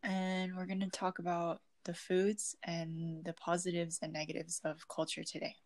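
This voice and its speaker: A teenage girl from China and South Korea co-hosting a student podcast, speaking in a relaxed, reflective way. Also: fairly low pitch, moderate pace. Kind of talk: casual podcast narration.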